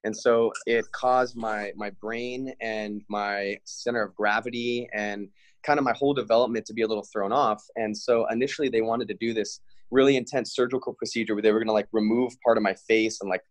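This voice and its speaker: Male, mid-20s, American. Low, dry-recorded voice; laid-back, earnest podcast guest speaking reflectively. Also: no echo. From Hawaii, Big Island, United States. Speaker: podcast guest, fitness and bodywork practitioner. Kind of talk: casual reflective interview talk.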